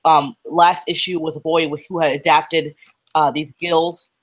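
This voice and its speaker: A woman co-hosting a casual livestream chat about comics. She is feeling unwell and talks haltingly, with fillers and restarts. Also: low voice, flat delivery. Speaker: co-host.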